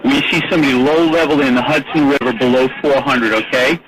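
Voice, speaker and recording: A man's voice: mid-range voice, fast. American guy in a control room, direct and emphatic, mid voice, far from mic, people talking behind. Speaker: air traffic controller. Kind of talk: urgent coordination call. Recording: distant radio recording with background chatter.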